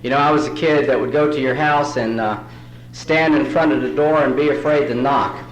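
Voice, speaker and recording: A man from New York City whose first language is Spanish talks to a meeting with folksy, self-deprecating humor. His voice sits at an ordinary pitch. The recording carries the echo of a large room. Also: fairly even intonation, moderate pace. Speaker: recovery meeting speaker. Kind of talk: storytelling talk at a recovery meeting. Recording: roomy hall recording.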